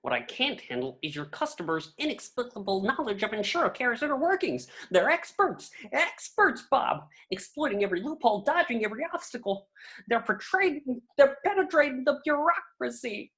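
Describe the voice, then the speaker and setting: A woman plays a petty middle-aged office tyrant in an amateur table read. The delivery is pompous and clipped, with pitch that swings widely. Every phrase is condescending and fussy. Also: big pitch swings, moderate pace, fairly bright tone. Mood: indignant.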